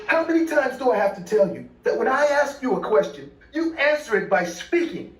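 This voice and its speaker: Creepy dad villain, played by a male story reader: raised pitch, falsely sweet yet menacing, volatile, roomy sound. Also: moderate pace, faint music behind. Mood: furious screaming. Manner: switches between screaming and creepy calm coaxing. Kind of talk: dramatised horror story reading. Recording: echoey room, away from the mic.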